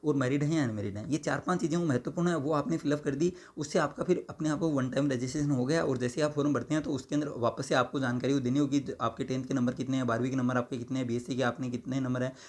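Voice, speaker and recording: Hoarse, fast-talking man. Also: medium pitch, fairly even intonation, darkish tone. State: hoarse, sore throat. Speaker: exam-prep YouTuber. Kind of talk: answering viewer comments, explanatory.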